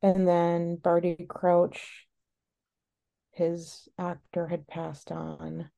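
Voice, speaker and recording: A sniffly woman chats casually as a podcast co-host, rambling and frank. Her voice sits on the low side with plenty of gravelly fry, and she takes her time getting the words out. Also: monotone, extremely slow, dark tone. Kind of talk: casual chat.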